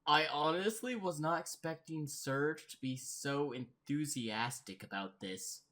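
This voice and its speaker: A man giving casual reaction commentary as a host, thinking aloud in a halting way. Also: on the high side, pushed and bright.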